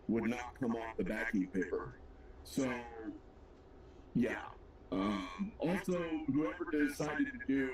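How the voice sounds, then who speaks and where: A man's voice: medium pitch, far from the mic, low-quality recording. A man co-hosting a livestream, chatting casually and rambling about his work at the bench. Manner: laid-back, rambling, self-deprecating. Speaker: livestream co-host. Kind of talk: casual chat while building a model kit.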